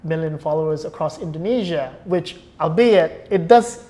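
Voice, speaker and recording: Man giving thoughtful, halting interview answers. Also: fairly high pitch, dark tone. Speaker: interview guest, film producer.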